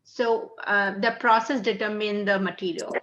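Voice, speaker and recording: A woman's voice: mid-range voice, fairly even intonation, moderate pace, fairly bright tone, muffled recording. A woman artist on a panel, politely explaining how she works. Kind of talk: explaining her art practice.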